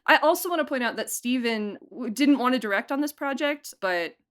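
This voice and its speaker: A woman who produced and directed an audio drama, talking casually in an interview, chatty and animated, laughing often. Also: high voice, brisk, smooth.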